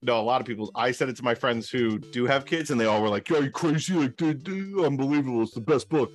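Blunt, complaining podcast co-host. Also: male voice, mid-range voice, fast talker, faint music behind. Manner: blunt, complaining, mock-outraged. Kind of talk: casual podcast banter.

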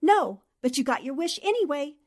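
A woman's voice: high-pitched, very animated, normal speed. A woman playing a composed, polite female newspaper columnist in a radio drama. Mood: composed, curious.

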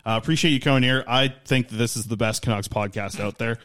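A man's voice: mid-range voice, fairly bright tone. A man hosting a podcast, rambling eagerly in casual chat. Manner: fast, eager, rambling plugs. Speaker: podcast host.

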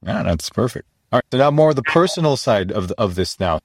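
Agreeable low-voiced male podcast host. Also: expressive, fast talker, no echo, clean studio sound. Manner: agreeable, affirming, rambling questions. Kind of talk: casual interview conversation.

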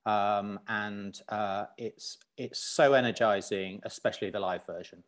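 A man's voice: lowish voice, steady tone, a little slow. Reflective male interview guest. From Zambia. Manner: reflective, many fillers and restarts. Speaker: guest, business founder. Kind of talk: podcast interview.

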